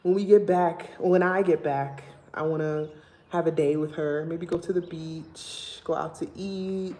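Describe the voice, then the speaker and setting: A woman's voice: deep voice, a little slow, a little hiss or hum. A young woman from Liberia with a Liberian accent, chatting casually and emphatically to her viewers on a livestream. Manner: animated, emphatic storytelling. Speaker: vlogger.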